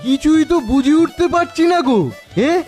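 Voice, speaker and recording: A man's voice: very high voice, fairly quick, a little mellow. A man voicing a baffled, gossipy cartoon villager who talks in querulous questions. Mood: bewildered. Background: clear background music.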